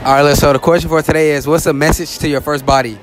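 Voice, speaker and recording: A young man with a slightly high voice works a crowd in a shopping mall, handheld mic out, laughing and joking through his questions. Music plays loudly under the mall noise. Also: steady tone, quick pace. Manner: loose and joking, repeats "all right, look". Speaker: street interviewer. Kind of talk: casual street interview.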